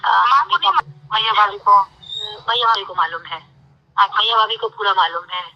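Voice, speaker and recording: A woman's voice: mid-range voice, moderate pace. A woman on a recorded phone call, talking privately and informally in an insistent, aggrieved way. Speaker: caller on a recorded phone conversation.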